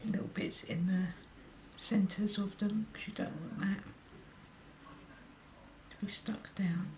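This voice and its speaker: A woman with a croaky voice talking through a card-making tutorial, murmuring and often dropping to a whisper as she works. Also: mid-range voice, steady tone, darkish tone. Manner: slow, half-murmured commentary while working, often dropping to a whisper. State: croaky voice from illness. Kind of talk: hands-on craft tutorial.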